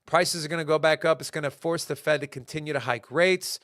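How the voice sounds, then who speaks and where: Young guy riffing on crypto charts to his chat, kinda high voice, dry close sound, lots of like and uh. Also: a little fast, no echo. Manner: animated, talks in bursts with fillers and restarts. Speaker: crypto market show host. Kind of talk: casual market commentary to a live chat.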